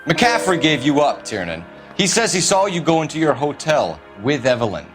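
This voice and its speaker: An actor playing a stern, dogged young American police detective in a video game, clipped and formal, pressing with accusations. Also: male voice, on the high side, sing-song, moderate pace, fairly bright tone, faint music behind. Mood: accusing. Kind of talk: dramatic video game acting.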